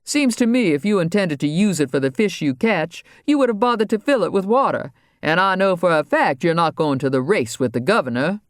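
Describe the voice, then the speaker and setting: A woman's voice: on the low side, expressive, fairly quick, few pauses, no echo, clean studio sound, pitched above the performer's own voice, natural voice. A woman doing a sneering old trader. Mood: suspicious. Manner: sneering, suspicious. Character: sly, nosy older man trader.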